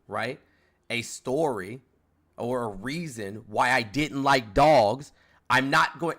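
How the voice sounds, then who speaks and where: A man's voice: mid-range voice, a bit bright. Lecturing, condescending male debate host. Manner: condescending, lecturing, many restarts. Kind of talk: heated debate.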